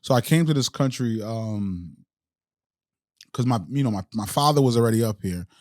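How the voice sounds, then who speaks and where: A man's voice: mid-range voice, expressive, normal speed, darkish tone, no room sound, studio quality. A man born in Haiti and raised in the United States, a podcast guest and entrepreneur, talking reflectively in street slang with many restarts. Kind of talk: casual interview chat.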